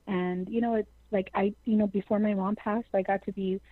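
A woman's voice: medium pitch, steady tone, normal speed, no room sound, narrow, tinny audio. A woman in her forties, a singer joining an interview over the phone, talking effusively and peppering her sentences with "you know". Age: 40s. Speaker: singer, guest. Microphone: phone line.

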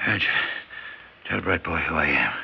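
An actor in a classic radio drama playing a dying old American gangster boss, menacing and blustering, with a gravelly, husky voice and curt, bullying street talk. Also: male voice, extremely low pitch, a little fast, full character voice. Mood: gruff. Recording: dull lo-fi radio drama recording.

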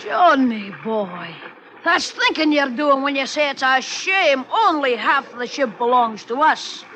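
Sly sailor man, lilt, sweet-talking schemer, bright edge, radio drama, slight background noise. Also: very high-pitched, big pitch swings, measured pace, heavily acted, poor audio. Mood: sly, scheming. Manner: coaxing, sly, lilting. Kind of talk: 1950s American radio drama acting. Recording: old radio recording with noticeable noise.

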